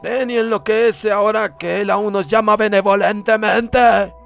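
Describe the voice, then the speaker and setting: A raving madman of a sailor, in a horror audio story. His voice soars high and stretches words out in manic exultation, with music playing behind him. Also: steady tone, creaky. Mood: manic exultant. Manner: shouting, drawn-out, theatrical raving.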